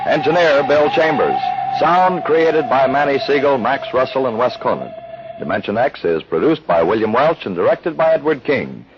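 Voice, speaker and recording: A man announcing in a formal, measured, dramatic 1950s radio style on a vintage broadcast, with music behind him. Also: mid-range voice, lively intonation, muffled recording, background noise.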